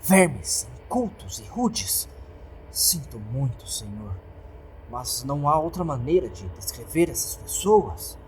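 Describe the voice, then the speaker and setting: A man's voice: medium pitch, sing-song, takes it slowly, a little mellow, a little hiss or hum, rough recording. A Brazilian man voicing an indignant, exasperated female hotel maid who scolds and complains emphatically in a horror story. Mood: outraged.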